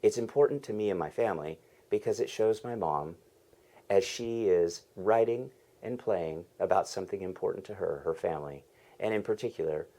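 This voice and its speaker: A man's voice: low-pitched, dark tone. A man hosting a podcast, earnestly reading a scripted introduction. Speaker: podcast host.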